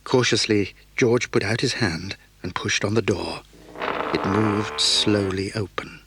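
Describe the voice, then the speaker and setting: A man narrating a children's audio drama in a measured, suspenseful way. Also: lowish voice, background noise. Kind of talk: scripted storybook narration.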